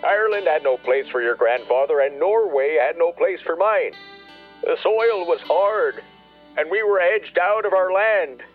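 An actor playing a proud, bitter rural farmer who grieves and broods in a halting, plain-spoken radio play monologue. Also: male voice, a little high, lively intonation, narrow, tinny audio, soft background music. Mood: mournful, wistful.

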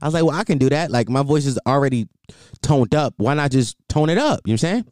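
A man's voice: mid-range voice, animated, fast, darkish tone, dry recording, clean studio sound. A young man rambling casually as a solo podcast host, repeating himself and filling with "like".

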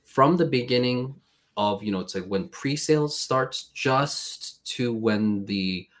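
A man's voice: medium pitch, animated, slow. A man from Sweden hosting a casual podcast chat, friendly and rambling, full of filler phrases.

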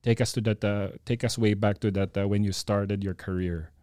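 A man from the Philippines, hosting a studio podcast interview and filling pauses with lots of uhs. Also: on the low side, steady tone, dark tone, clean tone, no room sound. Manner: hesitant, many fillers, addresses viewers as guys. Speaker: podcast host.

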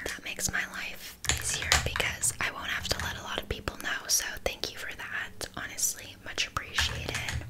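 A woman playing a flaky, scammy witch in an ASMR roleplay, rambling casually and haltingly in a soft whisper. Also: close to an everyday voice. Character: flaky, scammy young witch, blithely unbothered.

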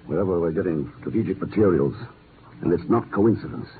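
An actor playing a cool-headed, seasoned secret agent in a 1950s radio drama, speaking in a dry, measured way. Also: male voice, low voice, expressive. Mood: grave, probing. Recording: muffled, noisy 1950s radio recording.

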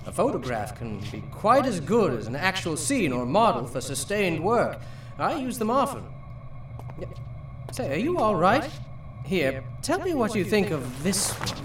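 An actor playing a secretive, brusque painter of horrors in a radio drama, with a brisk, theatrical, commanding manner. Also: male voice, sits high, huge pitch swings, a bit bright, distant mic, a little hiss or hum, heavily acted. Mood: brisk, confiding. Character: Pickman, eccentric male painter of ghoulish horrors, secretive and brusque. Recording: roomy echo, off the mic.

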